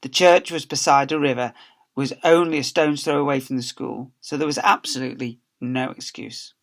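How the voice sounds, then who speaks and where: A man's voice: mid-range voice, steady tone, normal speed, creaky. A man, a teacher, reading a storybook aloud to young children with playful, theatrical emphasis.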